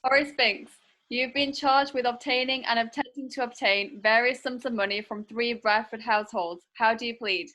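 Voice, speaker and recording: A woman's voice: fairly high pitch, moderate pace, pushed and bright, low-quality recording. A woman playing an officious court clerk in a radio play, formal and commanding. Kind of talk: comic radio play acting.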